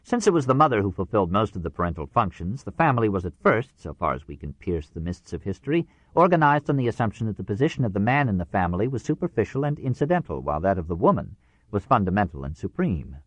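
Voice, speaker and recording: Low-voiced man reading an audiobook, lively intonation. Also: no room sound, dull audio. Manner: measured, formal, precisely phrased reading. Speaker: audiobook narrator. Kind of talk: scripted nonfiction audiobook narration.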